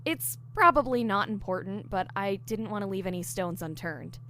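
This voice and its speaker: A woman's voice: medium pitch, animated, moderate pace, a bit bright, a little hiss or hum, close to an everyday voice. A woman playing an eager, diligent newly hired investigator in an audio drama, explaining things earnestly. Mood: assured, explanatory. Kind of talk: audio drama dialogue, explaining case theories.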